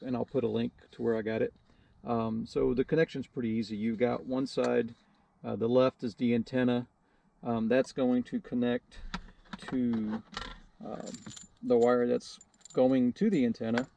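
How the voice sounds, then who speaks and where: Gravelly, mellow man explaining hands-on. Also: mid-range voice, little pitch movement, normal speed, a little hiss or hum. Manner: hesitant, unscripted, modest. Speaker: DIY video host demonstrating a radio installation. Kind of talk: casual hands-on how-to explanation.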